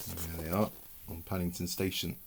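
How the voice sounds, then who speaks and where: A man reading a picture book aloud to children in an expressive way, adding explanations and questions for the young listeners. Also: low voice, moderate pace, noisy background.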